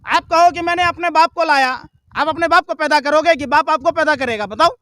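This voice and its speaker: Very high-pitched, combative man arguing politics. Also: brisk, pushed and bright, no echo, clean studio sound. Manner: combative, indignant, firing rhetorical questions; emphatic, repetitive oratory. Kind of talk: heated political argument and speech.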